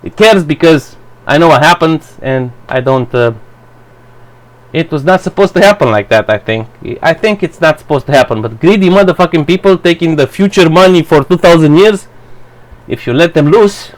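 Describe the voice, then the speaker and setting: A man whose first language is Romanian, giving a blunt, profane, halting commentary for his channel. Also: on the high side, low-quality recording. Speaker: vlogger. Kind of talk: rambling personal commentary.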